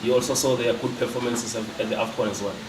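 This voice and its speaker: A man's voice: medium pitch, steady tone, fast. A man asking a reporter's question, rephrasing it with hesitant fillers. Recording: echoey room, distant, noisy.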